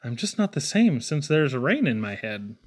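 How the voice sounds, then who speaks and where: A man reading children's poems aloud expressively, with theatrical pauses and emphatic swings. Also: mid-range voice, big pitch swings, husky. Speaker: poetry reader.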